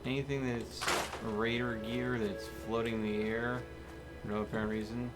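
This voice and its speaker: A man live streaming a video game, chatting casually to viewers in an expressive, playfully mock-dramatic way. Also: medium pitch, normal speed, rough recording. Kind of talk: casual gaming commentary to viewers. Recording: music behind the voice. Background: music.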